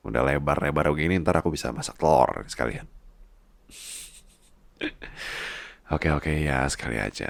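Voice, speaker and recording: A man performs a worried, babyish boss husband with an exceptionally deep voice. He coaxes and teases tenderly in an intimate ASMR roleplay. His speech has a creaky fry and is recorded very dry and close. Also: dry recording, exaggerated voice. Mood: affectionate teasing. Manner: tender, coaxing, playful teasing. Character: doting, worried boss husband, big-baby clingy and teasing. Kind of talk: intimate ASMR boyfriend-style roleplay in casual talk.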